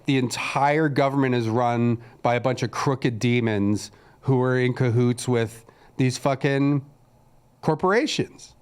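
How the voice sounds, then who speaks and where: A man's voice: medium pitch, unhurried, smooth. A man co-hosting a casual podcast, ranting in a crude, sarcastic way. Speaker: podcast co-host.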